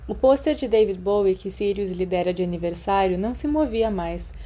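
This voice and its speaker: A woman's voice: mid-range voice, animated, fairly quick, dark tone. A woman with a Brazilian accent reading a scripted story as an audiobook narrator. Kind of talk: scripted fan fiction narration.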